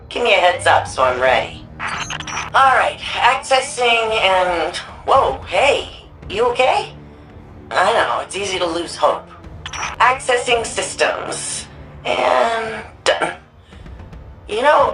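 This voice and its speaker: A woman's voice: lowish voice, a bit bright, heavily acted, rough recording. An actress playing a weary, wry young woman hacker in a sci-fi game, talking casually and rambling a little. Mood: gentle, awkward. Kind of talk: video game dialogue.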